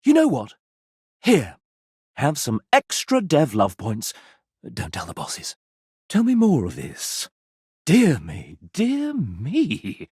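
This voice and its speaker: An actor voicing a sassy, vain gentleman mascot in a video game, theatrical and pompous, with flamboyant old-fashioned phrasing and a husky edge. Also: fairly high pitch, huge pitch swings, slow, pauses often, no echo, studio quality, full character voice. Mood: conspiratorial, blithe. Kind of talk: video game character dialogue.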